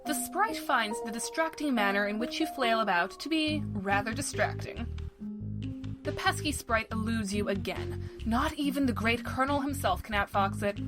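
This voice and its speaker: Wry narrator, higher-voiced woman. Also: normal speed, music in the background, natural voice. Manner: wry, mock-formal. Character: wry, playful storybook-style female narrator. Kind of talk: scripted second-person narration.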